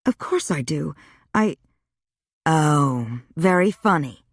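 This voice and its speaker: A woman's voice: low voice, animated, no room sound, studio quality, exaggerated voice. Woman reading as a guarded young bride. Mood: uneasy. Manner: hesitant, halting sentences.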